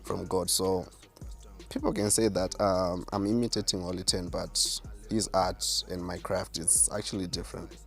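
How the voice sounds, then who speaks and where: A man with a deep voice, a self-assured artist answering interview questions. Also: steady tone, moderate pace, gravelly, a bit bright, faint music behind. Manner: self-assured, boastful about his skill. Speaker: rapper and artist, guest.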